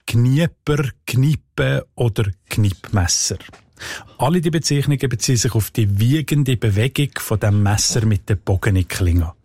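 A man with a Swiss German accent, a dialect expert explaining the history of words in a calm, precise radio segment. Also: mid-range voice, no echo, clean studio sound.

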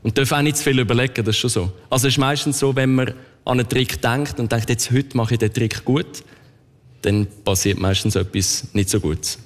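A man with a Swiss German accent, a circus juggler, speaking calmly and modestly in an interview. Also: medium pitch, brisk, long reverb tail. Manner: calm, modest, thoughtful. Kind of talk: interview chat.

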